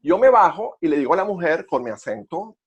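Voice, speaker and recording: A man with a Venezuelan accent telling personal stories conversationally, haltingly repeating words as he searches for phrasing. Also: a little high, big pitch swings, husky, highs cut off. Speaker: podcast co-host.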